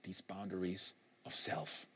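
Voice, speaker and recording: A man narrating literary fiction aloud in an expressive, deliberate manner with emphatic phrasing. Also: low-pitched, slightly rough. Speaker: audiobook reader hosting a live reading series.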